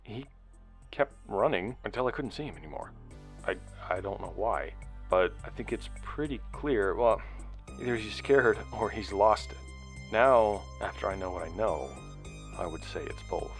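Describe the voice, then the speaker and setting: An actor playing an earnest investigator logging findings in a sci-fi audio drama, speaking in a halting, deliberate, conversational way. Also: male voice, lowish voice, animated, soft background music. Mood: sombre, reflective. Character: earnest male investigator recording a log of findings. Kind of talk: sci-fi audio drama monologue and field interviews.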